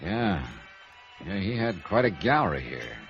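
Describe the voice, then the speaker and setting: An actor playing a wry, probing middle-aged American insurance investigator in 1950s radio noir, talking and narrating in a conversational way. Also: male voice, low voice, big pitch swings, unhurried, fairly bright tone, heavily acted. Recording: dull vintage radio recording, music behind.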